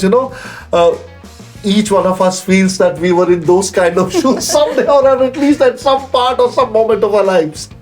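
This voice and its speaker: A man hosting an interview, gushing with praise and leaning on "you know" fillers. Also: high voice. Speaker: talk show host. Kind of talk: effusive interview chat.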